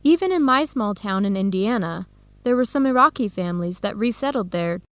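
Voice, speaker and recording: A woman playing a warm, curious American researcher in an educational radio drama, speaking in a conversational, inquisitive way. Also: mid-range voice, lively intonation, brisk, clear, resonant tone, dry recording, close to an everyday voice. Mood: thoughtful.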